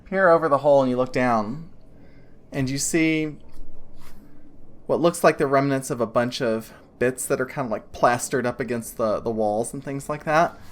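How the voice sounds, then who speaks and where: A woman's voice: a bass voice, moderate pace. A woman narrating as game master of a tabletop game, describing scenes in a rambling way full of restarts and fillers.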